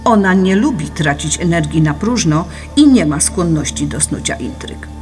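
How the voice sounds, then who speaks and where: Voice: mid-range voice, animated, moderate pace, a little mellow, natural voice. A narrator reading a scripted philosophical audiobook in an explanatory, didactic manner. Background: music.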